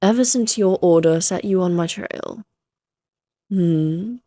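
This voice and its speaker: A woman's voice: fairly low pitch, takes it slowly, mellow. A woman playing a playful, flirtatious immortal vampire in a Victorian fantasy audio roleplay, teasing in genteel, archaic phrasing.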